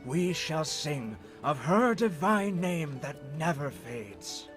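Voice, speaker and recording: High-voiced, reverent young poet. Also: male voice, big pitch swings, over music. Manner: reverent, lyrical, drawn-out. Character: young male poet, reverently hymning a goddess. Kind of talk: dramatic recitation in a game.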